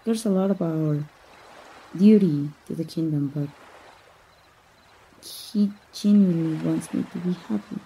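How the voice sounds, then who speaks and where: A woman from Mexico voicing a gentle, romantic young princess in an ASMR roleplay, soft and breathy, with a hesitant, earnest manner. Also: sits low, a little slow, long pauses, heavily acted, poor audio. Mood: shy, tender. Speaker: amateur voice actor.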